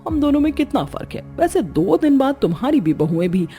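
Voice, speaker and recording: A woman's voice: high voice, sing-song, a little fast, mellow, soft background music. Haughty rich mother-in-law, played by a woman. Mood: mocking pity. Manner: condescending, taunting. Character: boastful, haughty wealthy middle-aged mother-in-law. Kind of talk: Hindi cartoon story voice acting.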